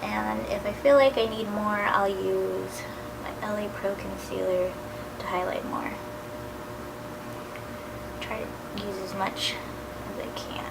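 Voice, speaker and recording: A woman in her thirties talks through a makeup tutorial at home. Her voice is gravelly and a little nasal from a cold. Her talk is casual and chatty, now and then dropping to a soft near-whisper, and there is steady noise behind her. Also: mid-range voice, slow pace, long pauses. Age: 30s. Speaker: beauty vlogger.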